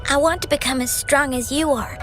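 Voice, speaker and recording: A woman voicing an earnest, plucky little girl in an anime game, with halting childlike speech. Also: sits high, steady tone, faint music behind, close to an everyday voice. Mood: hopeful, determined.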